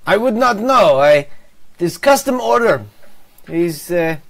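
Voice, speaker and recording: Brief: a man playing a shopkeeper with a mock Russian accent, broken grammar, lively sing-song pitch. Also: slow, vocal fry, heavily acted. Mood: salesy. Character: shabby Russian-accented male toymaker shopkeeper, pushy salesman. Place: home room on a video call.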